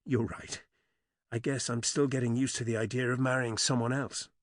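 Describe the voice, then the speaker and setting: A male narrator voicing an anxious widow who is about to remarry, speaking softly and hesitantly. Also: mid-range voice, flat delivery, normal speed, dry recording, studio quality, natural voice. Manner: soft, hesitant.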